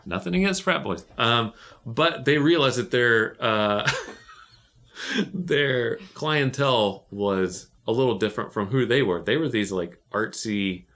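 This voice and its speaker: A man's voice: medium pitch, lively intonation, slow, fairly bright tone. A man co-hosting a podcast, chatting casually and rambling, with many fillers and restarts. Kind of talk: casual chat.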